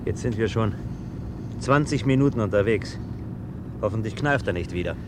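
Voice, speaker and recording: Actor as eager policeman, clear car noise. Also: lowish voice, lively intonation, moderate pace, lightly acted. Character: eager, confident policeman sidekick. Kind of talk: radio crime drama acting.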